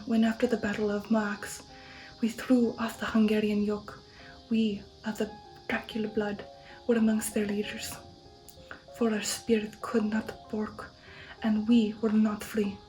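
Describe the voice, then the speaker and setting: Woman acting proud old vampire count, gothic storybook, half-whispered, stately declamation, mellow tone, faint music. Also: medium pitch, lightly acted, rough recording. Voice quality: soft, breathy, half-whispered. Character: proud old male vampire count boasting of warlike ancestry. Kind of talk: ASMR audiobook reading of a gothic novel.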